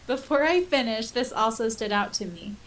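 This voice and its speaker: A woman's voice: on the high side, a little slow, a bit bright, low-quality recording. A giggly, enthusiastic woman co-hosting a podcast, chatting casually about fan topics and reader comments. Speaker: podcast co-host. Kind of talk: casual fan discussion, reading listener comments aloud.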